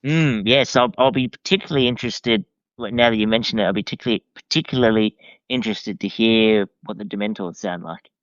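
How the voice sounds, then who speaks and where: A man chatting casually as a podcast guest, giving opinions in a halting way and repeating phrases. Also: fairly low pitch, dry recording. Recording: dull, lo-fi voice track.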